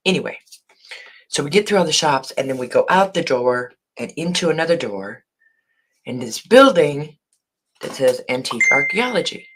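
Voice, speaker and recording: A hoarse woman who has partly lost her voice, chatting casually on a live stream and greeting viewers by name. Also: deep voice, very animated, moderate pace, fairly bright tone, a little hiss or hum, poor audio. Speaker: online shop owner hosting a live video.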